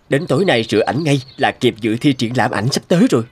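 A man playing a keen, friendly young photographer in an animated story, speaking politely and enthusiastically. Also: medium pitch, fairly quick, lightly acted. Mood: cheerful. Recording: guitar music under the voice.

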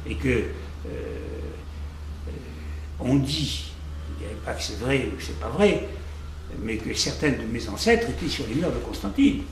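An older man from Algeria talks reflectively in an interview, often repeating words as he looks for the right one. His voice moves in a lively way. It is recorded in an echoey room with faint background noise. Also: mid-range voice, measured pace, creaky. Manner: reflective, repeats words while searching for them. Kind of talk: conversational interview.

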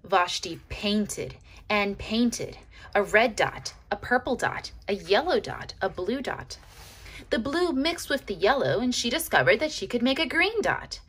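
Animated woman reading a storybook, husky edge. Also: medium pitch. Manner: animated, dramatic pauses, addresses young listeners. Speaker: teacher reading a picture book aloud. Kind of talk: expressive children's storybook read-aloud.

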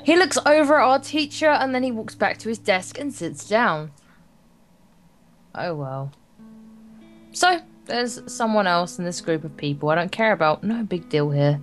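A woman's voice: mid-range voice, animated, moderate pace, faint music behind. A woman with an English accent reading a visual novel aloud and commenting casually as co-host. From England. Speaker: let's play co-host.

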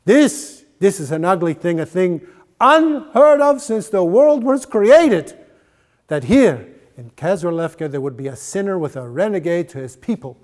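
Man playing a high, impassioned village rabbi. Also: very animated, unhurried, darkish tone. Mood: indignant, commanding. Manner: declamatory, solemn, emphatic. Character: grave, impassioned, pious village rabbi addressing his congregation.

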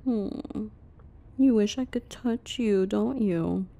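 A woman's voice: medium pitch, dark tone. A woman playing a coy, teasing, dominant wife in an intimate roleplay audio, talking playfully to the listener. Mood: mock-sympathetic. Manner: coy, teasing, slow breathy murmurs mixed with playful chatter.

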